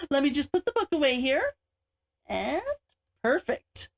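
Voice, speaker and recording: A woman reading her own book aloud as an author, in an expressive audiobook style. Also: high-pitched, slow, creaky.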